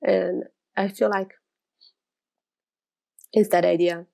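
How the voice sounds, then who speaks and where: Gravelly-voiced Brazilian woman in her thirties. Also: mid-range voice, steady tone, slow pace, warm tone. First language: Portuguese. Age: 30s. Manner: rambling, chatty, frequent restarts. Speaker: illustrator vlogger. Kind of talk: casual chat to camera. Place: her studio.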